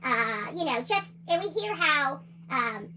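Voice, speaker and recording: A woman's voice: high-pitched, big pitch swings, slow pace, bright, forceful tone, slight background noise, poor audio. A woman hosting a livestream, giving opinionated commentary in a combative, indignant and emphatic way, often repeating phrases for emphasis. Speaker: livestream host.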